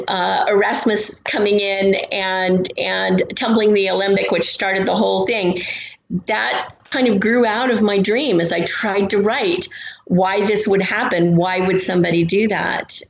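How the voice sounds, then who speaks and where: A woman talks as an interview guest, telling stories in a casual, thoughtful, halting way. The recording is roomy and muffled, with clear noise behind her. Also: medium pitch. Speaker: guest, writer of an audio drama podcast. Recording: roomy, dull, noisy amateur recording.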